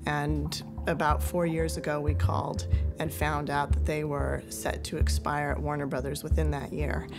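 A woman's voice: deep voice, level tone, moderate pace, vocal fry, over music. A woman who works as a film producer, talking in a documentary interview.

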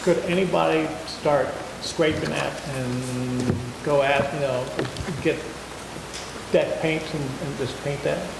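A council member with a gravelly, slightly high voice, asking loose, rambling questions. The sound is roomy with a long hall reverb and clear background noise. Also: male voice, fairly even intonation, slow. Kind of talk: meeting discussion. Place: large wood-paneled meeting hall. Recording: echoey hall, noisy room.

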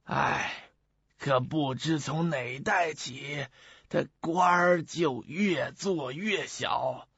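A male actor plays a chatty old theater handyman in a radio drama, gravelly and husky. He boasts in a folksy, slow, drawn-out way. The audio is muffled, with faint music behind. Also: fairly high pitch, fairly bright tone, no room sound, heavily acted. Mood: proud. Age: older.

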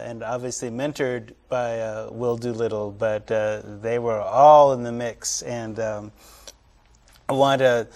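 A man hosting a studio panel interview, talking in a relaxed, loose way with plenty of fillers. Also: medium pitch. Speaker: TV show host. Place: TV studio, panel at a long table.

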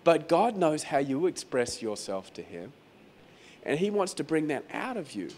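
A man preaching a sermon as pastor in a croaky, hoarse voice, talking conversationally and repeating phrases for emphasis. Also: a little high, very animated, moderate pace, darkish tone, slight background noise. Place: venue hall during a church service.

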